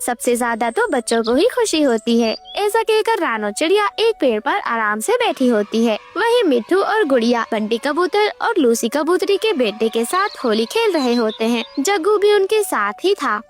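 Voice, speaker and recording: A woman's voice: sits high, very animated, moderate pace, few pauses, soft background music. A woman narrating a scripted children's story as a storyteller.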